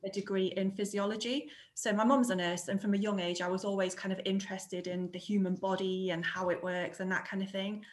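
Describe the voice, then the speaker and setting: A woman in her thirties, a scientist guest in an interview, explaining her path in a clear, measured way. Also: medium pitch, little pitch movement. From England. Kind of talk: interview answers.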